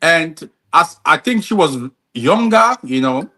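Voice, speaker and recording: A man's voice: fairly high pitch, expressive, slow pace, pushed and bright. A man hosting a podcast interview, encouraging his guest with short affirmations and plenty of "you know.". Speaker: podcast host. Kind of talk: casual interview conversation.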